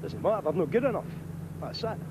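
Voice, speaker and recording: A man's voice: sits high, animated, quick pace. A Northern Irish man, a football fan, giving blunt, confident short answers outside a stadium. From Northern Ireland. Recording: noisy outdoor street recording.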